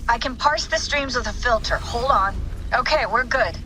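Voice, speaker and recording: Brisk bright-voiced technician. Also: female voice, on the high side, a little hiss or hum, rough recording. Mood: calm. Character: calm female technician monitoring a simulation. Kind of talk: video game cutscene acting.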